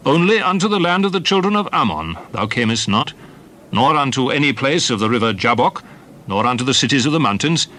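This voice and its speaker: A solemn leader of ancient Israel in a dramatized scripture reading. The voice is bright and forceful, with a mid pitch that rises and falls widely. Phrases are weighty, emphatic and deliberate, in old-fashioned biblical English. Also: male voice. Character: solemn Old Testament leader recounting wars and journeys.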